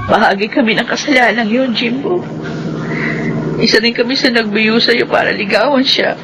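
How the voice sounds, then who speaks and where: A woman playing an earnest, emotional young friend in a radio drama. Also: fairly high pitch. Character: remorseful young friend who played matchmaker. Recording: noisy ambience behind.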